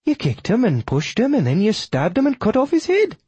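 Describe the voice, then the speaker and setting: A man voicing a frail elderly Irish widow, fearful yet sharp, with a tiny quavering voice and an Irish accent, in an audiobook. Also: sits high, huge pitch swings, fairly quick, husky, dry recording, voice pushed up, full character voice. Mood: accusing. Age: older.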